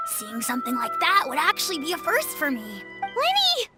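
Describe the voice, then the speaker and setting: A morbidly curious little child in a video game. The voice is very high and swoops wildly in a playful, gleeful singsong, with loud music behind it. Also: female voice, huge pitch swings, normal speed, full character voice. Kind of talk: video game character dialogue.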